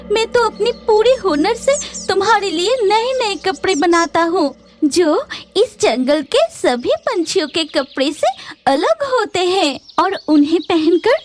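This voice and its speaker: Woman as anxious tailor bird, humble, eager to please, slow delivery, cartoon dialogue, music underneath. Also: extremely high pitch. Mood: reassuring. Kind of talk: Hindi children's cartoon dialogue.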